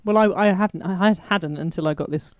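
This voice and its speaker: A woman's voice: fairly low pitch, fast, darkish tone, dry recording. A woman co-hosting a comedy podcast, dry and understated in casual banter. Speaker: podcast co-host.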